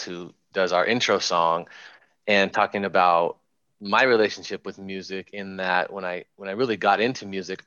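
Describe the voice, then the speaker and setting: A man's voice: deep voice, pushed and bright, smooth, dry recording. A man hosting a podcast, chatting casually and sharing his own experience with enthusiasm. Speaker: podcast host. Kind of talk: casual conversation. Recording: dull lo-fi, dry.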